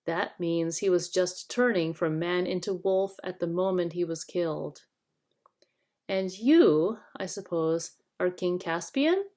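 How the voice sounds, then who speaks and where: A composed boy leader in a storybook, read by a woman. He is courteous and matter-of-fact. He talks slowly, at an average pitch. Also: a little mellow.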